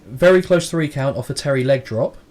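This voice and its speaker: A man's voice: medium pitch, animated, a little fast, darkish tone. A man co-hosting a podcast, reading out match notes in a casual play-by-play recap with a dry, crude sense of humour. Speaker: podcast co-host.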